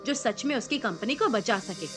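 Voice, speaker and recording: A woman acting a snobbish young cousin in an audio drama. Her very high voice swoops about dramatically. She is gushing yet haughty, with a sharp tongue. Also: expressive, normal speed, music in the background, lightly acted. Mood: spiteful, mocking. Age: young adult.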